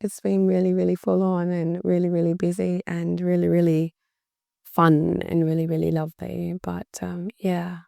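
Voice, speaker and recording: A woman hosting her own podcast, talking slowly and reflectively, her voice deep and worn out from weeks of talking, often repeating words for emphasis. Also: completely flat delivery, mellow, no echo, studio quality. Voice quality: deep, gravelly. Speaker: podcast host. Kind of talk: solo podcast monologue.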